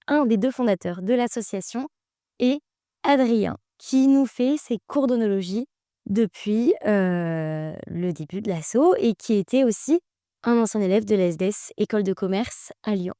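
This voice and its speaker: A woman's voice: mid-range voice, moderate pace, mellow, no echo, clean studio sound. A young woman hosting a casual podcast, asking questions in a friendly way with some fillers. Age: young adult. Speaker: host.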